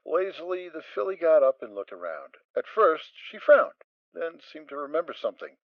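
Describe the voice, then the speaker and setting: A man narrating a fan audio drama, reading with expressive storytelling. The audio is dry and slightly dull. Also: on the high side, a little fast, no room sound.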